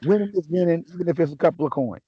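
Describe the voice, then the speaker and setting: A man hosting a casual sports chat, a little raspy from a sore throat, talking in a laid-back, joking way with many restarts. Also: fairly high pitch, very fast, dry recording, dull audio. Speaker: podcast host.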